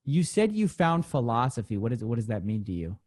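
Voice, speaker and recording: A man's voice: mid-range voice, big pitch swings, brisk, darkish tone. A man hosting a conversational interview, speaking informally as he sums up and probes with questions. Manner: informal, summing up and probing. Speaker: interviewer, channel host.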